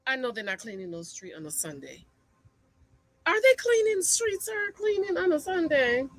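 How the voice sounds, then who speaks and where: A woman casually recapping a reality show from home, chatty and animated, acting out the gasps of the people she describes. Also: high voice, moderate pace, no room sound. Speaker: reaction vlogger. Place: at home, street outside audible.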